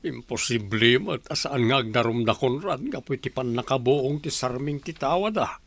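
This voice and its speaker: A man's voice: mid-range voice, normal speed, fairly bright tone. A man playing a plain-spoken village man in a radio drama. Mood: troubled.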